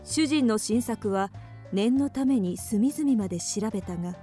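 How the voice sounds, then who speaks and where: A woman narrating a radio drama from a script in a measured, composed manner. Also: mid-range voice, lively intonation, dark tone, faint music behind.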